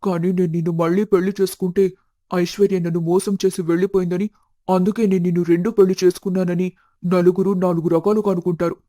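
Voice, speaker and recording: A man playing a reluctant, high-voiced young man. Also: flat delivery, fast, mellow, close to an everyday voice. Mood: confessing. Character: reluctant young man hiding his love. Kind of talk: animated moral-story dialogue.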